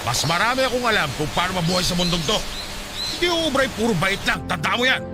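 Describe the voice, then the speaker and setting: A man's voice: sits high, big pitch swings, fast talker. A man playing a scheming, bullying middle-aged father in a radio drama, scolding and domineering, with a Filipino accent. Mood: scolding, contemptuous. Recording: loud music under the voice.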